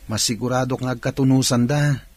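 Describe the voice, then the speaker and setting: An actor playing a confident, chatty older brother in an Ilocano radio drama, a young man with a husky voice and a Filipino Ilocano accent. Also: medium pitch, steady tone, fairly quick, darkish tone, lightly acted.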